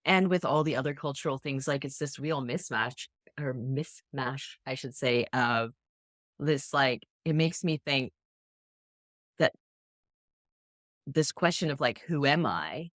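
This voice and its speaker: A podcast host talks in a casual interview, affirming the guest and restarting sentences as thoughts form. The voice sits at an ordinary middle pitch. The recording is dry and close. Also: a little slow, pauses often, a bit bright, dry recording. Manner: chatty, affirming, many restarts and fillers.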